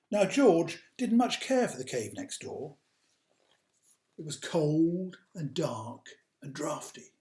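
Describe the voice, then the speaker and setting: A man reading a picture book aloud to children, with dramatic drawn-out emphasis and hushed asides. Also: a little high. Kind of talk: animated children's storytime narration. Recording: roomy, echoey sound.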